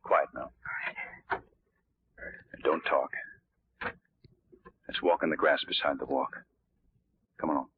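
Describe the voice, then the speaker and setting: An actor playing a suave, quietly commanding amateur sleuth, grave and authoritative, in 1940s radio mystery style. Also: male voice, extremely low pitch, steady tone, takes it slowly, pauses often, breathy edge, exaggerated voice. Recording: dull, noisy vintage 1940s radio recording.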